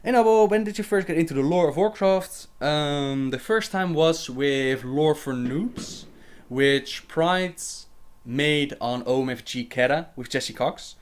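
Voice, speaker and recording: A man's voice: a little high, a little slow, smooth. A young man hosting a casual livestream Q&A, reading viewer questions aloud and answering them chattily with fillers and laughs.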